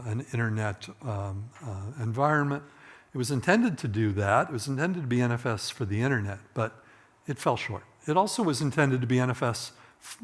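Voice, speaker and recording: A middle-aged man with a slightly hoarse voice, presenting a technical conference talk in an informal, conversational way, thinking aloud as he goes. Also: medium pitch, a bit bright. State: voice getting hoarse.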